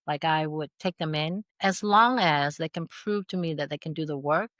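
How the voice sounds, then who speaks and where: A middle-aged woman with a Vietnamese accent, her voice sitting a little low for a woman. She talks as an interview guest, telling stories with animation and laughter. The recording is close and dry. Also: moderate pace, no room sound. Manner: animated storyteller, laughs through anecdotes. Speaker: podcast guest. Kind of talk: casual interview chat.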